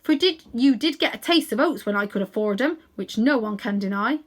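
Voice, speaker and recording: A woman's voice: fairly high pitch, lively intonation, fairly quick. A woman voicing a plain-spoken working-class cabbie man in a children's storybook, chatty and emphatic. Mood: arguing his case. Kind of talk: children's storybook character reading.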